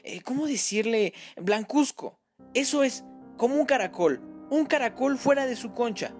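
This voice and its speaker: Male reader as a high, wavering, guilt-ridden mother, near tears. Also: lively intonation, normal speed, faint music behind. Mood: distraught. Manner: tearful, pleading, breathless outbursts. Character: distraught middle-aged mother, frantic and self-blaming.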